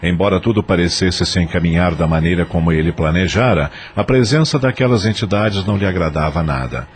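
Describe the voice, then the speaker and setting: A man's voice: sits low, fairly quick. A man with a Brazilian accent narrating an audio novel in a scripted, dramatic style.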